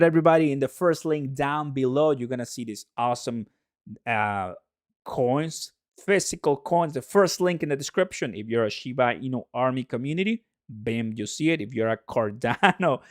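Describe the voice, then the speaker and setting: A man from Mexico, a native Spanish speaker with a Mexican accent, hosting a livestream in an excitable, hype-driven way and repeating phrases for emphasis. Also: mid-range voice, dry recording, clean studio sound. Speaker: livestream host. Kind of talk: excitable livestream commentary to viewers.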